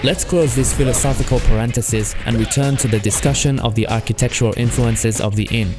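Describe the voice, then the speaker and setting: A man with a French accent, a solo game developer narrating his monthly progress in a calm, earnest, scripted way. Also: medium pitch, brisk, music in the background. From France.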